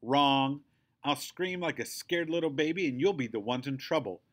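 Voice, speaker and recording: A man's voice: medium pitch, moderate pace, voice pushed up, close to an everyday voice. A man voicing a feisty, chatty little girl in a storybook, speaking emphatically and indignantly. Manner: emphatic, excitable.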